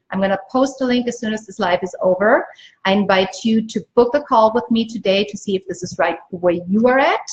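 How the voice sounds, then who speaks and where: Emphatic woman coach on lo-fi livestream. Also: medium pitch. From Austria. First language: German. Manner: emphatic, repeats phrases for stress. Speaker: business coach and livestream host. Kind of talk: motivational live sales pitch to viewers. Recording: dull lo-fi livestream audio.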